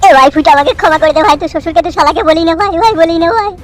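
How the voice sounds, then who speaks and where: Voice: very high voice, very fast, soft background music. A performer voicing a brash, foul-mouthed young village man who bickers and taunts in a comedy cartoon. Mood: pleading. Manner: taunting, swearing, slangy rural speech.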